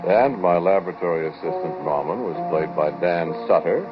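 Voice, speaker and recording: A man with a very low voice hosts a vintage radio program in 1940s announcing style. The recording is muffled and music plays behind him. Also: brisk. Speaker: radio show host and lead actor.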